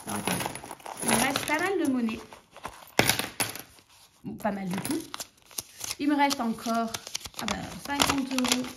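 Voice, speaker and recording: A woman's voice: high-pitched, a little slow, long pauses, heavy background noise. A woman in her thirties with a cold and a stuffy nose, chatting casually to her viewers and counting out cash. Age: 30s. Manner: chatty, rambling, counts money aloud. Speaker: budgeting vlogger. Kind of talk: casual chat to camera.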